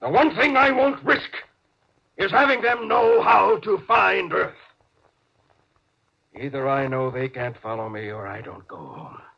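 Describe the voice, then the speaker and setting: An actor playing a suspicious, domineering spaceship captain in a 1950s radio drama, sardonic and commanding. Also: male voice, sits high, animated, a little slow, vocal fry, long pauses, bright, forceful tone, husky, exaggerated voice. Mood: brooding, sardonic. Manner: sardonic, domineering, clipped orders. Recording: noisy, dull 1950s radio recording.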